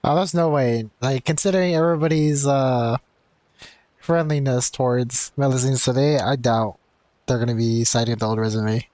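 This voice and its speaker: A man's voice: medium pitch, fairly even intonation, moderate pace, a little mellow, no echo. A man giving casual, slangy, easygoing live commentary as a game streamer.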